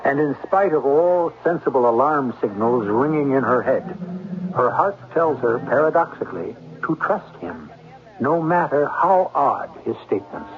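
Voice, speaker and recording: A man's voice: medium pitch, lively intonation, moderate pace. A man narrates a suspense radio show as its host. His delivery is theatrical and portentous, with dramatic weight on each phrase. The sound is narrow and tinny, like a vintage broadcast.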